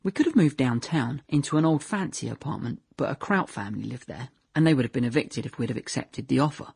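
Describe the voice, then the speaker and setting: An actor playing a naive young man in a radio drama monologue, recalling his childhood in a deadpan, chatty way, often correcting himself. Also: mid-range voice, fast, creaky, husky, no room sound, studio quality. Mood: deadpan reminiscing. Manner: deadpan, chatty, self-correcting, trailing into "yeah".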